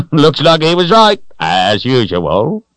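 A jolly old man of the storybook kind. His voice sits high and leaps about as he talks. He is hearty and folksy, with a teasing way. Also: wildly swooping pitch, takes it slowly, fairly bright tone, dry recording, heavily acted. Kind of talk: audiobook character voice.

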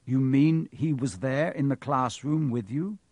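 A man's voice: on the high side, animated, normal speed, no room sound, pitched above the performer's own voice. A man narrating a storybook, voicing a shocked young boy hero who speaks in an earnest, urgent, questioning way.

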